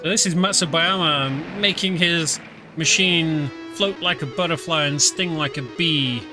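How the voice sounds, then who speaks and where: A British man streaming a game, explaining it in a relaxed, filler-heavy casual commentary. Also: on the high side, a little slow. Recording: game music behind.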